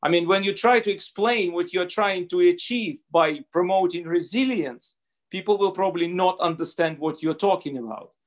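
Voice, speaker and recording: Guy, middle-aged, panel talk over a video call, restarts words, kinda high voice. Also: moderate pace. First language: Russian. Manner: earnest, argumentative, repeats words as he restarts. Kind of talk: panel discussion remarks. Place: home room indoors.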